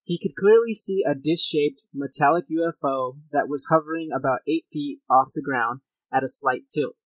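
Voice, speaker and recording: A man's voice: on the high side, normal speed, no echo, poor audio. A man of thirty hosting a podcast in a casual, informal and self-deprecating way. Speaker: podcast host. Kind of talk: casual podcast talk and reading of accounts. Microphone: headset, recording at own setup.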